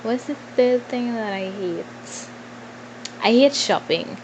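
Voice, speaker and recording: Slow-talking young Trinidadian woman, chatty. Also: a little high, background noise. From Trinidad and Tobago. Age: 20s. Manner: informal, playful asides, thinking aloud. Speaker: vlogger. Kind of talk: casual Q&A chat to followers.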